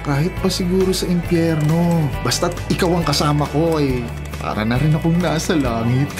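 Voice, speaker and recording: Actor as persistent lovestruck Filipino suitor, music behind. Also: male voice, a little high, moderate pace, natural voice. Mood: earnest, determined. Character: persistent, lovestruck young male suitor, cheerfully devoted. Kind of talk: Filipino radio drama acting.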